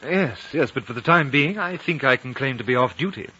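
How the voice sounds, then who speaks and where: Urbane, bright-voiced British consul. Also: male voice, mid-range voice, animated, fairly quick, husky. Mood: dry, composed. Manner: polite, understated, dryly formal. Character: urbane, reserved British consul, thoughtful and wry. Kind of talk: 1950s radio drama dialogue and narration. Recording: dull 1950 radio recording.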